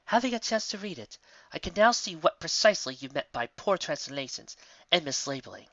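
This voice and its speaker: Regal mare mentor, done by a man, stern, formal, bright and expressive, storybook letter, slightly dull recording. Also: on the high side, no echo, voice pushed up. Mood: stern but forgiving. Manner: formal, measured.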